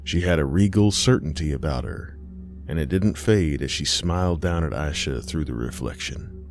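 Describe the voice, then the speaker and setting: A man reading a horror story as scripted, dramatic narration, measured and suspenseful, with deliberate pauses. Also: extremely low pitch, faint music behind.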